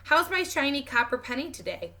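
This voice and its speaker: Storybook father, read by a woman. Bright delivery, easygoing, affectionate, playful teasing. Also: high voice, big pitch swings, a little fast, voice pushed up, heavily acted. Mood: playful, fond.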